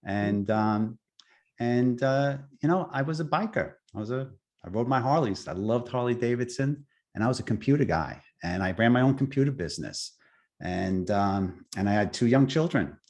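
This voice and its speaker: A middle-aged man with a thick Long Island accent tells a personal story in a halting, earnest way. His voice sits in a middle pitch, and he keeps restarting phrases and filling gaps with "uh" and "um". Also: animated, a little mellow. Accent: Long Island New York. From Long Island, New York. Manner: halting, many restarts and fillers, laughs lightly. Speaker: guest speaker, near-death experiencer.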